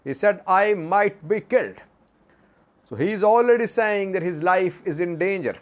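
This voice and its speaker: A man giving unscripted news commentary as a channel host, speaking haltingly with many filler sounds and posing rhetorical questions. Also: high-pitched, expressive. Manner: halting, with long hesitations and frequent filler sounds.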